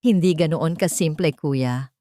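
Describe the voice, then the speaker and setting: A woman's voice: low-pitched, unhurried, mellow, no echo, clean studio sound. A woman voicing an earnest, deferential younger brother, serious and respectful, in a narrated romance drama. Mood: earnest, pleading.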